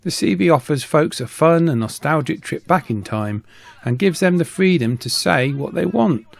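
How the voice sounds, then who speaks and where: A man's voice: on the high side, lively intonation, normal speed, gravelly. A middle-aged man reading a scripted voice-over narration as an online video host. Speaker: YouTube host, radio hobbyist.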